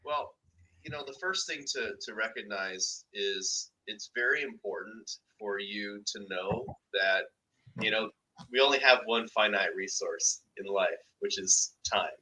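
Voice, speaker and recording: Bright-toned man as a video-call guest. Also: on the low side, unhurried, rough recording. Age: 30s. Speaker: guest, business founder. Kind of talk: casual interview chat.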